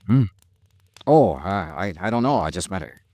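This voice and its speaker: An actor with an American accent playing a tough, dogged, wry insurance investigator in a 1950s radio detective drama. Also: male voice, sits low, big pitch swings, moderate pace, darkish tone, dry recording. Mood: anxious, insistent.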